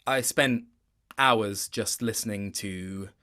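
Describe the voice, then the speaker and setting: A man's voice: fairly low pitch, slow, bright, forceful tone. A man chatting casually as an interview guest, reflective and hesitant as he thinks aloud. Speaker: guest, game voice actor.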